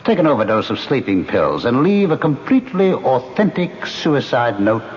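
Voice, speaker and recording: A man's voice: medium pitch, big pitch swings, normal speed, close to an everyday voice. An actor playing a smug, sinister male ghost in an old radio mystery play, coaxing his victim into a murder plot. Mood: scheming. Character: smug scheming male ghost who speaks unseen. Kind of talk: 1970s radio drama acting. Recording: muffled old radio broadcast.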